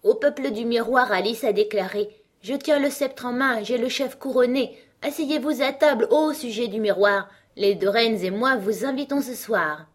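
A woman performs a festive storybook herald with a high, shrill voice. Verses are declaimed in a bold singsong. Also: moderate pace, lightly acted. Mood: festive, proclaiming. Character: shrill herald singing a festive nonsense welcome.

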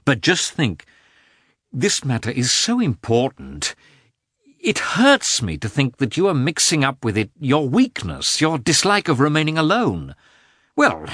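A vexed husband in a dramatised audiobook, played by a male reader. He is stern and reproachful, straining to sound reasonable, with emphatic swings of pitch in a dry, close recording. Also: pushed and bright, slightly rough, dry recording, heavily acted.

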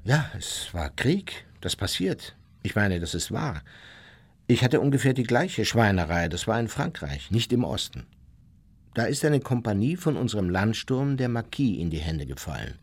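Radio play role: unrepentant war criminal, lowish pitch, blunt, self-justifying, set in long reverb. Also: male voice, very animated, normal speed. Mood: hard, justifying. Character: unrepentant male Nazi war criminal recounting his deeds, coldly self-justifying. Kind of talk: German radio play, interview testimony.

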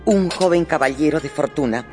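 Woman doing an eager gossipy wife in a radio play, lowish voice, bit of music under her. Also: normal speed, dark tone, natural voice. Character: eager matchmaking wife and mother, gentry gossip. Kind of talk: radio drama acting.

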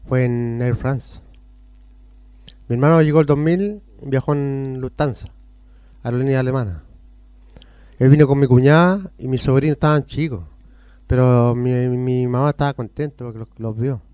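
A man's voice: medium pitch, normal speed, pauses often, mellow, smooth, dry recording. A Chilean man being interviewed on a radio show, telling rambling stories that jump between topics. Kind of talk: casual rambling storytelling.

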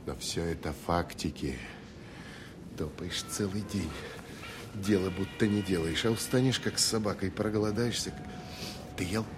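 A man's voice: low-pitched, moderate pace, long pauses, background noise, lightly acted. An actor playing a wry, seasoned Soviet army counterintelligence man in a radio play, talking in a colloquial, teasing, coaxing way. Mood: wry, hungry.

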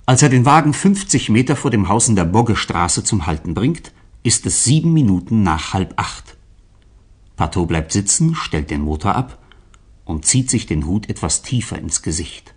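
Male radio-play narrator: deep-leaning, rough-edged voice, expressive scripted storytelling. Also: moderate pace.

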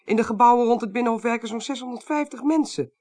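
A woman playing a sharp, composed, sceptical investigator with a Netherlands accent and precise, polite speech in a Dutch radio drama. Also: fairly high pitch, fast talker, close to an everyday voice.

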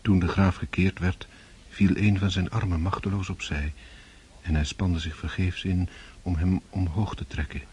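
A man reading scripted literary narration for a radio drama, composed and measured. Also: low voice, fairly even intonation, darkish tone, husky. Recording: noisy old radio drama recording.

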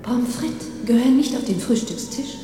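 Echoing as if across a great hall, an actress plays a woman's ghost, an angelic mother with a soft, mellow voice. She speaks tenderly and solemnly, reassuring the listener in this radio play, a little far from the mic. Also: on the high side, moderate pace, faint music behind, lightly acted. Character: gentle angelic ghost of a loving dead mother. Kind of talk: film audio drama acting. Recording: echoey hall, distant, faint noise.

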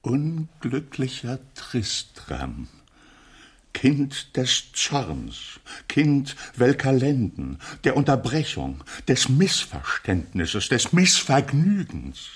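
Lamenting gentleman father: slow, pompous, husky radio drama declamation with wide melodic swings. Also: medium pitch, wildly swooping pitch, full character voice. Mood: mournful, declamatory. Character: mournful, pedantic father lamenting, grandiloquent middle-aged gentleman. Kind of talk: classic German radio play acting.

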